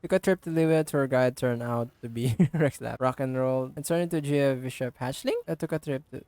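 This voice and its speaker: A man giving casual, halting game playthrough commentary, partly reading on-screen text aloud. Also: medium pitch, a little mellow, no echo. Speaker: gaming streamer.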